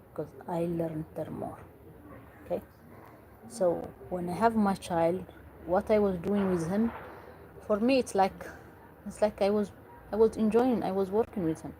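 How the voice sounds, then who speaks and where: A woman's voice: fairly low pitch, mellow, a little hiss or hum, poor audio. A woman from Eritrea, earnestly giving parenting advice in English and repeating herself for emphasis. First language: Tigrinya or other Eritrean language. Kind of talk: earnest talk to camera.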